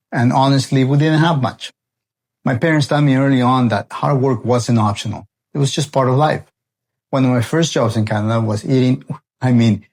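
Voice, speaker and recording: A man's voice: mid-range voice, darkish tone. A man in his forties from El Salvador, a renovation company founder, introducing his channel in an earnest, friendly way.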